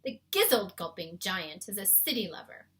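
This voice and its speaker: An earnest, friendly giant from a children's storybook, acted out by a woman reader. The delivery is loud and emphatic, swinging up and down in pitch with a bright edge. The talk is folksy and muddled. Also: very animated, moderate pace. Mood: eager explaining. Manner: emphatic, mangled homespun grammar. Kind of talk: children's storybook read-aloud.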